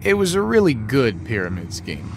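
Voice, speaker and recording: Cynical con man, animated delivery. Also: mid-range voice, moderate pace, a little hiss or hum. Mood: smug. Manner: dry, sardonic, profane. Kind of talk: video game dialogue acting.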